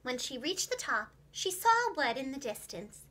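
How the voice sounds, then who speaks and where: High, animated woman reading a children's story. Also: normal speed. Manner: clearly enunciated, expressive, addressed to young children. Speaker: preschool teacher and children's storyteller. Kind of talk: scripted children's storybook narration.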